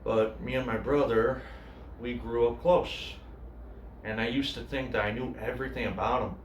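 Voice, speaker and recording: Guy acting as a sad quiet cook confessing stuff in a monologue, hesitant, roomy echo. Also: mid-range voice, distant mic, natural voice. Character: grieving male cook, subdued, haunted by his brother. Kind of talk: dramatic monologue, naturalistic screen-style acting. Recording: echoey room, faint noise.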